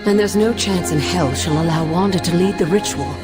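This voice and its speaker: An actress playing a wise, caring sorceress spirit mentor with measured, solemn delivery in a video game cutscene. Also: female voice, fairly low pitch, natural voice. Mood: grave, urgent. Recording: music behind the voice.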